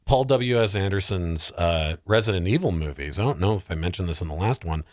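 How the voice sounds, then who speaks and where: A man's voice: sits low, lively intonation, brisk, no room sound, studio quality. A man in casual podcast conversation as a guest, talking in loose, rambling runs. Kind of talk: casual chat.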